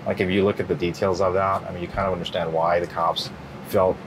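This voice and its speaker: A middle-aged man answering interview questions in a relaxed, rambling way, full of fillers and restarts. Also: low-pitched, quick pace, noisy background. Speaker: interview guest. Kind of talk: casual interview.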